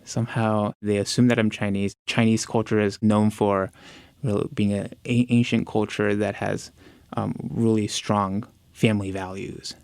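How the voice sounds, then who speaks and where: A man's voice: lowish voice, little pitch movement, unhurried, darkish tone, smooth, dry recording. A young man from the United States, a podcast guest, talking hesitantly with lots of fillers and restarts. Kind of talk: reflective personal interview.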